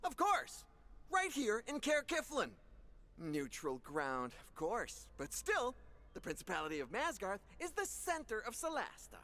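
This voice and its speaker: A man's voice: high voice, huge pitch swings, measured pace, sharp tone, exaggerated voice. A man playing a blustering, argumentative fantasy lord in a video game. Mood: agitated, indignant. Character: excitable, blustering council lord.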